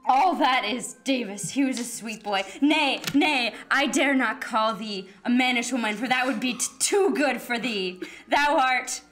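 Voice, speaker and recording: A woman's voice: a little high, a little slow, hardly any pauses, fairly bright tone, faint music behind. A woman playing a grim, vengeful swordswoman with a solemn, mock-archaic manner in an improvised radio play. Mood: wrathful. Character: Felicity, grim, proud, vengeful woman warrior.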